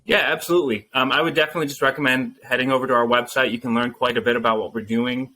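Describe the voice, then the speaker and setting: A man talking earnestly and thoughtfully as a founder guest in an interview, pausing with frequent ums. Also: medium pitch, steady tone, pushed and bright. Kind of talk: casual interview answers.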